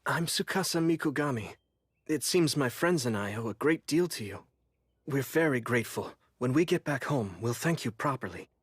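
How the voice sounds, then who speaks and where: Anime dub role: a polite, earnest teen boy statesman with formal phrasing and expressive range. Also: medium pitch, normal speed, slightly rough, dry recording. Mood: courteous. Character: polite, composed teenage boy public official. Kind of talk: English anime dub acting.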